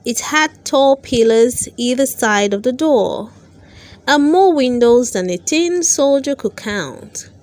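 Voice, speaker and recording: A woman narrating a children's storybook aloud, with careful, expressive storytelling. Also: high-pitched, slow. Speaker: storyteller, channel host.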